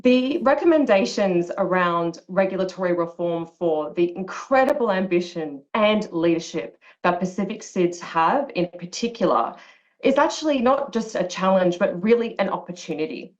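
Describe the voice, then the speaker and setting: A woman with a croaky voice giving formal, articulate remarks as an online panelist over a video call. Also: fairly low pitch. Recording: muffled video-call audio.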